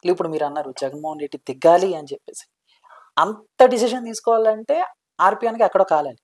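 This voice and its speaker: A man working as an interviewer, asking casual, halting questions with some English words mixed in. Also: high-pitched.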